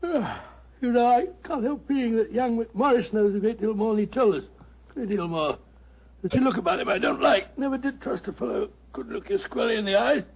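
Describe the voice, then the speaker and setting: An actor playing a bluff, puzzled older English gentleman sidekick in 1940s radio mystery style, blustering, muttering and repeating himself. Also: very high voice, animated, fairly quick, exaggerated voice. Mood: grumbling, bewildered. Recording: muffled old radio broadcast.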